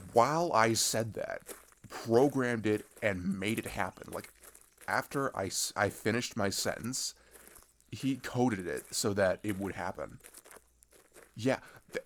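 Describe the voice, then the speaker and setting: A young man playing a chatty, excitable teenage runaway in an ASMR audio roleplay, rambling and halting in casual slang as he tells road stories. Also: medium pitch, a little hiss or hum. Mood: amazed, animated. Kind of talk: improvised-sounding ASMR audio roleplay monologue.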